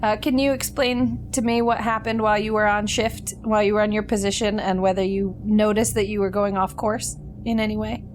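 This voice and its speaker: A woman's voice: medium pitch, level tone, a little fast, hardly any pauses, slight background noise, close to an everyday voice. A woman playing a polite starship doctor in a tabletop game, asking questions in a hesitant, apologetic way. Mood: questioning.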